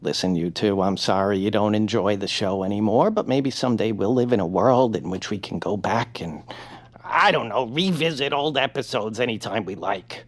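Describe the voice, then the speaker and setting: A woman's voice: extremely low pitch, moderate pace. A woman voicing a fussy, earnest, timid male peepkin who loves books, in an audio drama. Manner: fussy, earnest, soft-spoken.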